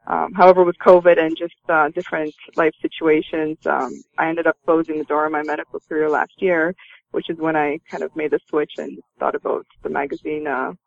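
A dull, lo-fi recording carries a woman in her thirties with a gravelly creak in her voice. She speaks conversationally as a guest answering interview questions. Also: low-pitched, level tone, brisk. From Romania and Canada. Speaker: interview guest, magazine publisher. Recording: dull lo-fi line, roomy sound.

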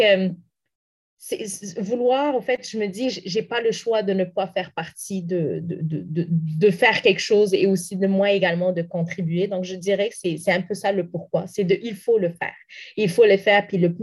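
A woman's voice: mid-range voice, normal speed, a little mellow. A young woman from Morocco, a native Arabic speaker, answering interview questions earnestly with frequent restarts and fillers. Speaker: interview guest, community activist.

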